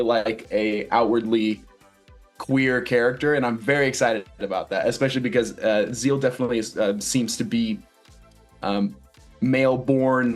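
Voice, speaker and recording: A man answering interview questions casually as a stage actor, earnest and thoughtful, often searching for his words. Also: mid-range voice.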